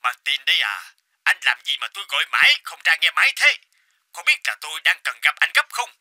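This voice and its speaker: A man voicing a demanding, authoritative male company chairman in a cartoon, speaking in a brisk, commanding manner. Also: on the high side, big pitch swings, pushed and bright, dry recording, studio quality, full character voice. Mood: impatient, commanding. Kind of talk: cartoon voice acting.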